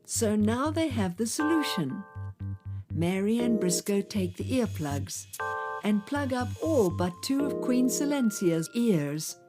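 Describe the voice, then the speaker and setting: A woman narrates a children's tale at an unhurried pace. Her intonation rises and falls expressively, and music plays underneath. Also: mid-range voice. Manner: expressive, measured storytelling. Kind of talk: scripted children's storytelling.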